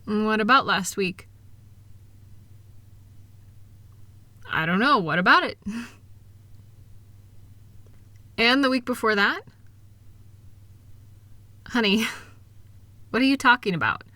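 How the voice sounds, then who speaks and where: A woman plays an affectionate, capable wife in a comfort roleplay. Her voice is bright and recorded very dry and close. She is gentle and reassuring, with a light teasing touch. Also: medium pitch, lively intonation, a little slow, long pauses, no echo. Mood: light reassurance. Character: kind, upbeat, capable wife soothing her insecure partner. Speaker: audio roleplay performer. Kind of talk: intimate comfort audio roleplay.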